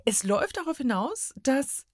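A woman's voice: high-pitched, very animated, normal speed, no room sound, studio quality. A woman chatting casually as a guest reviewer, colloquial and emphatic in her complaints. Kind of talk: casual chat.

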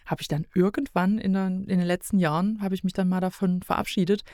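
A woman's voice: on the low side, fairly even intonation, quick pace, mellow, dry recording, clean studio sound. A middle-aged woman from East Germany chatting casually as a podcast guest, talking emphatically and rambling as she corrects herself. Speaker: podcast guest, self-employed illustrator.

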